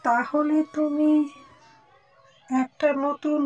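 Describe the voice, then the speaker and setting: Woman as a brusque auntie, high and slow. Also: little pitch movement, lo-fi sound. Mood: cold, dismissive. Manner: brusque, scolding. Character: curt, stingy, scolding auntie employer of a poor servant. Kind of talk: melodramatic cartoon dialogue.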